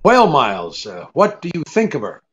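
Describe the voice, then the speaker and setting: An actor playing a cool, brisk, sardonic private detective in an old-time radio drama, with a clipped, take-charge manner. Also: male voice, on the high side, wildly swooping pitch, heavy background noise. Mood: wry. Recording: dull lo-fi radio-play recording.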